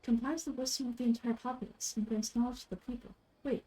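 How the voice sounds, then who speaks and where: Woman reading game dialogue, soft mellow tone. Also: fairly high pitch, fairly even intonation, fast talker, poor audio. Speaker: amateur voice-over performer reading a game's dialogue. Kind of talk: scripted game dialogue read aloud.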